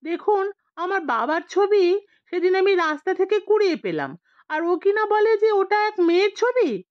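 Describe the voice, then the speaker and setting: A woman's voice: very high voice, sing-song, moderate pace, no room sound, lo-fi sound, pitched above the performer's own voice. A woman voicing an earnest, puzzled young husband who protests his case in an audio story. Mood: protesting.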